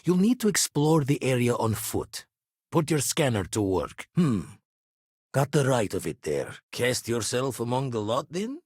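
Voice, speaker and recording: Aging retired space pirate, video game character, wry and reflective, raspy edge, clipped folksy phrasing, close dry sound. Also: male voice, medium pitch, big pitch swings, measured pace, a little mellow, no room sound. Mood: businesslike, measured. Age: older.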